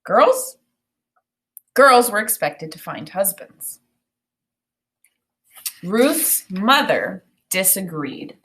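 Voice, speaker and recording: A woman's voice: mid-range voice, poor audio. Woman teacher reading a storybook aloud. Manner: expressive, deliberate storybook phrasing with explanatory asides. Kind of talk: read-aloud of a children's book with think-aloud commentary.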